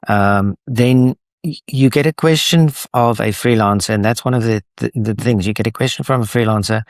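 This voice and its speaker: A man with a South African accent, a native Afrikaans speaker, answering interview questions in a halting way with many restarts. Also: lowish voice, dry recording, studio quality. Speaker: guest, association co-founder.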